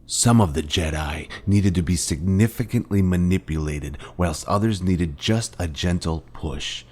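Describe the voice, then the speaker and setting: Very low male voice, man performing a grave sci-fi mentor, solemn, deliberate, rhetorical, dramatic game dialogue. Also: darkish tone, slightly rough. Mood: solemn, accusing. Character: grave former Jedi Master confronting his fallen Padawan. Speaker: game character.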